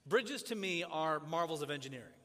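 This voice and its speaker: A man who grew up in Tanzania, preaching as a pastor in a church, praying and then teaching in a measured, earnest cadence. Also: on the high side, expressive, bright, forceful tone. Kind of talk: sermon with opening prayer. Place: church sanctuary. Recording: church hall reverb.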